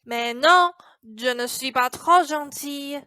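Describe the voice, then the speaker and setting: A woman's voice: high voice, takes it slowly, bright, forceful tone, heavily acted. A woman playing a put-upon young servant girl, a plaintive Cinderella with some exasperation, in a student radio play. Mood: earnest. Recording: music behind the voice.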